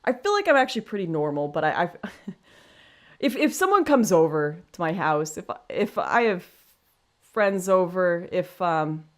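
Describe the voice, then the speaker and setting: A woman in her twenties with a deep voice, chatting frankly on a livestream, reading super chats and thinking out loud. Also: very animated, unhurried. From Canada. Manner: chatty, frank, self-deprecating, thinks aloud with restarts. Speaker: livestream host.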